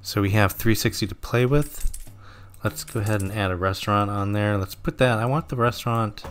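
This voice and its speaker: A man casually commentating his gameplay, thinking aloud with frequent pauses and self-corrections, his throat a little sore. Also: lowish voice. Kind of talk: casual let's-play commentary.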